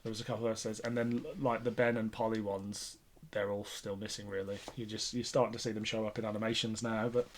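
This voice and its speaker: A man's voice: fairly low pitch, little pitch movement, quick pace, a little hiss or hum. A man co-hosting a podcast in casual, jokey, conversational fan chat. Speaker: podcast co-host.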